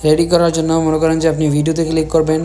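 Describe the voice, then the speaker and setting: Male tutorial host, Bangladeshi accent, instructional and repetitive, monotone delivery. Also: a little high, very fast. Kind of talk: step-by-step software tutorial narration. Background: music.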